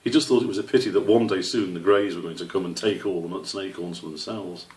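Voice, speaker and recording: A man narrating a children's storybook in a measured, expressive way, as an author reading aloud. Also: low-pitched. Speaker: author reading his own book. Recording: roomy echo, distant microphone.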